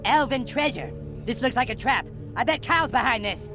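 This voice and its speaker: A man's voice: very high voice, animated, a little fast, over music. A man voicing a scheming, boastful, bossy cartoon boy who whines and gloats. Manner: whiny, gloating and shouty. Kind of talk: cartoon game cutscene acting.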